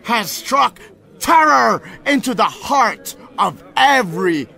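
An actor playing a pompous banquet toastmaster, giving florid, drawn-out oratory in a radio adventure. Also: male voice, very high-pitched, very animated, creaky, pushed and bright, full character voice. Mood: pompous, stirring.

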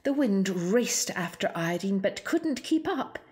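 A woman's voice: medium pitch, sing-song, a little slow, darkish tone. A woman narrating a children's storybook expressively. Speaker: storybook reader.

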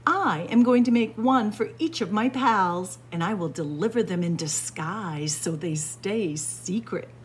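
A woman's voice: medium pitch, animated, a little slow, few pauses, a little hiss or hum, natural voice. A woman voicing an eager, easily dismayed male turkey in a children's storybook, earnest and exclaiming. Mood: eager scheming. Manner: earnest, exclaiming, gobbling when upset. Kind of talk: children's storybook character reading.

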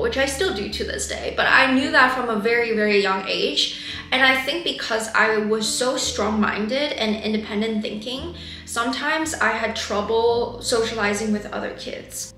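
A woman's voice: mid-range voice, sharp tone, echoey room. A woman in her twenties from China, a native Chinese speaker, talking candidly and thoughtfully to the camera about her life. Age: 20s. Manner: candid, thoughtful, gestures a lot while talking. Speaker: vlogger. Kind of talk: reflective personal talk to camera. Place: bedroom or home office, indoors.

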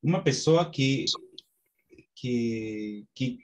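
A man from Peru with a Peruvian Spanish accent, teaching an online class in Portuguese with slow, halting speech and many restarts, over a video call from a small home study. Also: medium pitch, animated, a little mellow. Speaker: course instructor. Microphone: webcam on video call.

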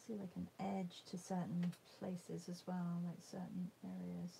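A woman giving a casual painting demo, thinking aloud in a halting, musing way and murmuring to herself as she works. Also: fairly low pitch, little pitch movement, vocal fry, warm tone, slight background noise, low-quality recording. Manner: halting, musing, murmurs to self while working. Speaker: art demonstrator. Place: indoors at a painting table.

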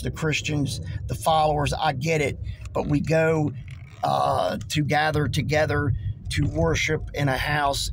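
Man, 40s, hoarse, emphatic, earnest, repetitive, phone in a car, slightly high voice. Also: slight background noise. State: hoarse, losing his voice. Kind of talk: casual preaching to camera. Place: parked car, inside. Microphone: phone held close.

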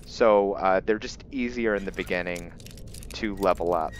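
A man's voice: deep voice, normal speed, a little hiss or hum. A man giving casual video game commentary with some roleplay, rambling as he thinks aloud. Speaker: let's play host.

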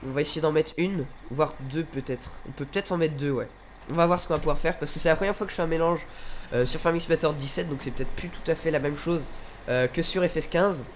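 Congested, high-pitched man gaming. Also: fast, slight background noise. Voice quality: congested, nasal. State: nasal with a head cold. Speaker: gaming video host. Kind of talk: casual let's play commentary.

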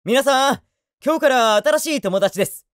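A man voicing a gentle, eccentric young idol teacher in an anime voice drama, speaking courteously like a teacher. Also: extremely high pitch, lively intonation, slight background noise. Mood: encouraging. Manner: courteous, measured teacherly address.

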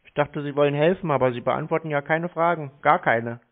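A man's voice: a little high, a little fast. A man in a casual phone conversation as a customer, answering in a dry, stubborn way. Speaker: scam-baiter posing as customer. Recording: muffled narrow-band phone line.

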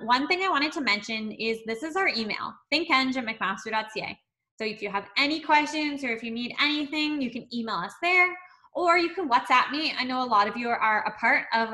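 Bright upbeat woman hosting a webinar. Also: on the high side. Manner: upbeat, many fillers. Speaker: webinar host. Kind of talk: hosting a video call Q&A.

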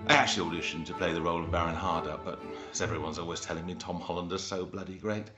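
A middle-aged actor playing a pompous, self-pitying pantomime master of ceremonies, theatrical and peevish. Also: male voice, sits low, fairly even intonation, fast, reverberant, over music, close to an everyday voice. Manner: theatrical, grandiose, peevish in asides.

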